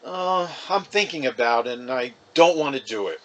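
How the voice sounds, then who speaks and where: A man's voice: mid-range voice, lively intonation, normal speed, a bit bright, close to an everyday voice, rough recording. A man voicing a reluctant, blunt young schoolboy with a whiny manner.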